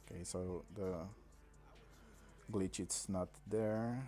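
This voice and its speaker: A man from Romania, streaming a mobile game in casual, halting commentary full of pauses and fillers, on a desk microphone. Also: deep voice, fairly even intonation, dark tone, faint music behind.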